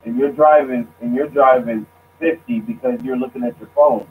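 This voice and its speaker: A man speaking casually as a guest, halting and restarting his sentences. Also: medium pitch, steady tone. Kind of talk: casual discussion. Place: lives abroad. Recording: tinny phone line with faint noise.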